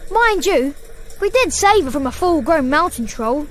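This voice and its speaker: An actor playing a friendly, chatty young schoolboy wizard in a video game, with a casual, chummy manner. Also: male voice, very high voice, big pitch swings, moderate pace, a bit bright, a little hiss or hum, heavily acted. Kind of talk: video game character acting.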